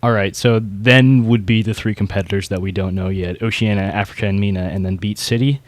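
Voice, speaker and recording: A man's voice: on the low side, steady tone, fairly quick, dry recording. A man hosting a podcast, chatting casually about a competition scene. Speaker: podcast host.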